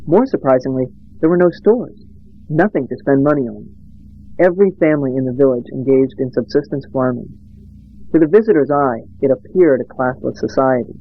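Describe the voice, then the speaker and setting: A man narrating a documentary in measured, plain storytelling. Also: medium pitch. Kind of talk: scripted narration. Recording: dull lo-fi recording.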